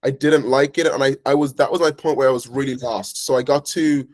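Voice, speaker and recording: A man's voice: mid-range voice, steady tone, brisk, a little mellow, dry recording. A young man in his early twenties from Ireland, with an Irish accent, talking casually as an interview guest in a rambling way, with lots of fillers. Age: early 20s. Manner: rambling, filler-heavy storytelling.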